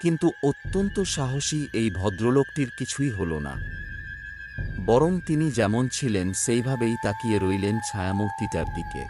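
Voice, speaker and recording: A man narrating a scripted horror story in a dramatic, suspenseful, measured literary manner. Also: medium pitch, expressive, noisy background. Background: background music.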